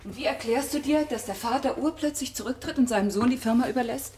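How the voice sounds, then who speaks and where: A woman's voice: a little high, quick pace, a little mellow, slight background noise, natural voice. An actress in a German radio drama playing a disillusioned woman who confronts her partner with dry, cutting reproach. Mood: reproachful.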